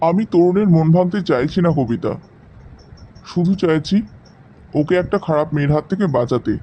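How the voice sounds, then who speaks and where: A man's voice: fairly high pitch, animated, moderate pace, natural voice. A man voicing a protective, determined young man in cartoon drama dialogue. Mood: resolute. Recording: slightly dull sound, faint noise.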